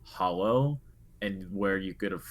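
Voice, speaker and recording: A man with a deep, low-pitched voice talks as a co-host on a gaming show. His delivery is casual and halting, thinking aloud as he builds his point, over faint music. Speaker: co-host on a video game discussion show. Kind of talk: casual discussion.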